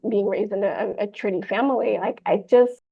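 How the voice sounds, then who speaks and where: A woman's voice: mid-range voice, normal speed, gravelly, husky, dull audio. A woman guest on a podcast, speaking earnestly and searching for words with frequent fillers. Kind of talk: conversational interview chat.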